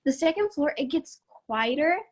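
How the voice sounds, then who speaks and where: A young woman giving a casual tour of her college from slides, chatty and upbeat, laughing often, with a loud voice. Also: high voice, lively intonation, moderate pace. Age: young adult. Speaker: student body president giving a campus tour. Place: at home.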